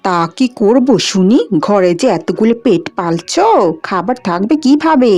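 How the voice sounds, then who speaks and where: A woman voicing a cruel, resentful aunt in an animated fairy tale, scolding and complaining. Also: medium pitch, unhurried, no room sound, soft background music, lightly acted. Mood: resentful, scheming. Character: nagging, cruel aunt resentful of her dependants.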